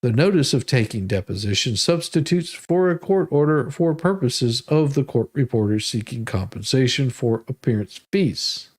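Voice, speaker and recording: A man's voice: medium pitch, animated, normal speed, few pauses. An American man reading documents aloud as a host, with casual, sarcastic asides and a folksy manner.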